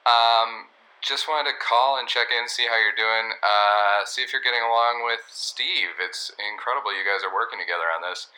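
An actor playing a chatty theatre reporter leaving a casual, hesitant voicemail in an audio comedy. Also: male voice, medium pitch, moderate pace, pushed and bright, low-quality recording. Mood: casual, probing.

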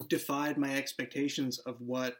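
A man speaking as a creator in a casual interview, explaining and telling anecdotes in a halting way. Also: mid-range voice, little pitch movement. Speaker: series creator, panel guest.

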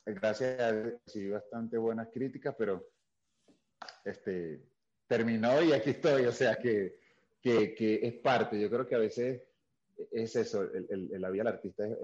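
Low-voiced Venezuelan man, lo-fi sound. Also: a little slow, darkish tone. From Venezuela, Caracas. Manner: storytelling, many fillers and restarts. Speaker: guest actor. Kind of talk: casual interview chat. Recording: dull lo-fi sound.

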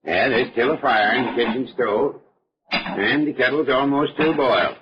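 An actor playing a folksy old retired country doctor in a radio mystery, with an avuncular, chuckling and smoothly insistent manner. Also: male voice, mid-range voice, normal speed, close to an everyday voice. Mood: genial, insistent. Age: older. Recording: dull muffled old radio recording.

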